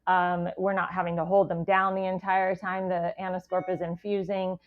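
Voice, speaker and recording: A woman's voice: lowish voice, level tone, normal speed. An American woman explaining things casually and plainly as a medical expert guest on a podcast. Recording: thin phone-like line.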